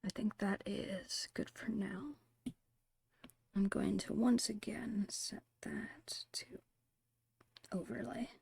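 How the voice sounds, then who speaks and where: A woman whispering in a breathy, creaky voice, murmuring her way step by step through an ASMR art narration. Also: medium pitch, fairly even intonation, a little mellow, no echo. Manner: unhurried, murmured step-by-step musing. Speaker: host of an art ASMR channel.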